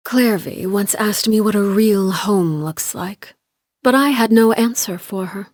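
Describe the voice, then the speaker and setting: A woman playing a cold, composed female game character, speaking softly and formally in measured phrases with quiet menace. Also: mid-range voice, no echo, clean studio sound, natural voice. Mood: reflective, cool. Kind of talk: scripted video game character lines.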